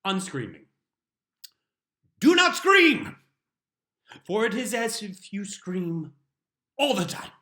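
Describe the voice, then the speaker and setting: Casting: man to play an exasperated, pompous patriarch for a comic mock-biblical reading; higher-set male voice with sharp, forceful edge, solemn and theatrical. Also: very animated, slow, long pauses, heavily acted. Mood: exasperated, thundering. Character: stern exasperated father proclaiming household laws like biblical scripture. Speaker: reader performing a humorous essay. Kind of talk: dramatic comic reading in mock-scriptural language.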